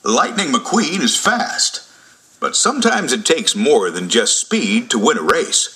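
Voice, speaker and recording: A man's voice: medium pitch, big pitch swings, unhurried. A man narrating a scripted read-along storybook for children. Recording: loud music behind the voice.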